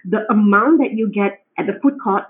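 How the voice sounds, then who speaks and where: A woman's voice: medium pitch, normal speed. A woman from Singapore with a Singaporean accent, a home cook chatting as a podcast guest, explaining with frequent restarts. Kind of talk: conversational interview. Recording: tinny phone-like remote line.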